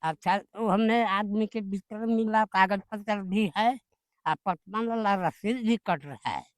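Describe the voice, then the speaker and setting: An elderly man with a strong rustic Bihari accent, a farmer haltingly recalling the past in an interview, slipping into dialect. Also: sits high, no echo, clean studio sound. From Bihar, India.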